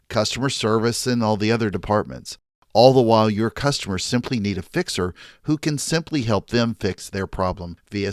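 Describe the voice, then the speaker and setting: A man's voice: lowish voice, no room sound. Male podcast host with a close, dry sound. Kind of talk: scripted podcast intro and interview.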